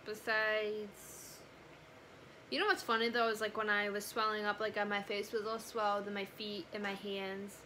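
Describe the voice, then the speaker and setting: Young girl vlogger, adult, bright voice, doesnt swing much in pitch, just chatting, says like a lot. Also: flat delivery, normal speed, a little hiss or hum. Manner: conversational, frequent "like" and "you know". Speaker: family vlogger. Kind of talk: casual storytelling to camera.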